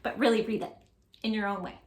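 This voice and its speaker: A woman in her forties reading a picture book aloud at home, sing-song and animated, asking the listener questions. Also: medium pitch, fast, roomy sound. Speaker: children's book reader. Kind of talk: read-aloud with tips for parents. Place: home room with posters.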